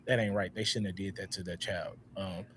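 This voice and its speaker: A man in his thirties hosting a casual panel talk, speaking in a reflective, unhurried way with many "uh"s and a loud voice. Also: deep voice, a little hiss or hum. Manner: relaxed, reflective, frequent "uh" and "all right?". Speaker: podcast host.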